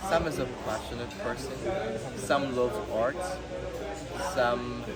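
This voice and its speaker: A young Nigerian man with a Nigerian accent, a native Yoruba speaker, answering interview questions in an earnest, measured way. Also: mid-range voice, loud music behind the voice. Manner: earnest, measured, speaks of himself in third person at times. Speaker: pageant contestant. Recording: loud crowd noise behind. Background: crowd chatter.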